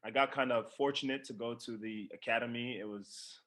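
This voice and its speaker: A man's voice: mid-range voice, steady tone, fairly quick, a bit bright. Young man giving casual interview answers. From Democratic Republic of Congo. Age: young adult. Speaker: professional soccer player being interviewed.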